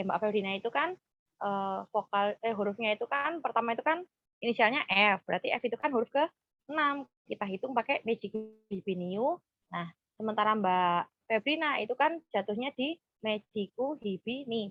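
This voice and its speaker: A woman, leading an informal group session in a halting, hesitant way. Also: mid-range voice, no room sound. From Purworejo, Central Java, Indonesia. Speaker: group counseling facilitator. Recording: dull, muffled lo-fi sound.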